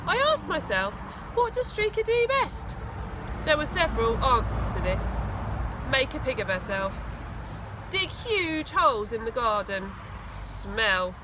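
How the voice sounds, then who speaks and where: A woman reading a children's story aloud with expressive, dramatic emphasis. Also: on the high side, measured pace, noisy background. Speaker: school teaching assistant reading aloud.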